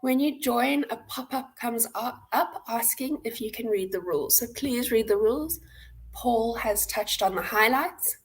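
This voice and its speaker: A woman's voice: a little high, animated, a little slow, a little hiss or hum, low-quality recording. A woman with a South African accent hosting an online walkthrough, explaining things informally step by step. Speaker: hackathon host and presenter. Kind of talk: live platform walkthrough, instructional. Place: indoor room, on a livestream webcam.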